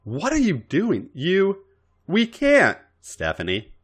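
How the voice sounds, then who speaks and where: A man's voice: sits high, wildly swooping pitch, slow pace, breathy edge, voice pushed up, exaggerated voice. A man acting out an intense, wildly swinging male lover. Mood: flustered. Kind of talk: dramatic fan fiction reading.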